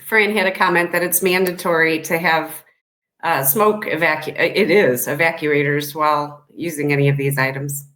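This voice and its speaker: A woman's voice: low-pitched, fairly even intonation, moderate pace, a bit bright. A woman co-hosting a casual panel discussion, chatty and plainspoken, reading out listener comments.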